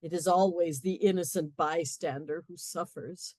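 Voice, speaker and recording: A woman's voice: lowish voice, fairly even intonation, normal speed, darkish tone, no room sound, clean studio sound. A woman narrating a comic radio play in a scripted storytelling style.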